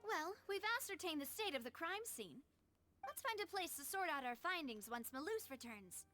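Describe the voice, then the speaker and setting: An earnest young lady investigator from an anime game. The voice is high and very animated, articulate and inquisitive, with faint music behind it. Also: normal speed, exaggerated voice. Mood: businesslike. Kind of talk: anime video game voice acting.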